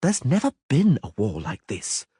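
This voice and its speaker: A man voicing a frantic young male bard who pleads and dramatizes, in a husky voice. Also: mid-range voice, huge pitch swings, unhurried, dark tone, no echo, studio quality, heavily acted. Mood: grave. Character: panicky excitable male bard, young adult.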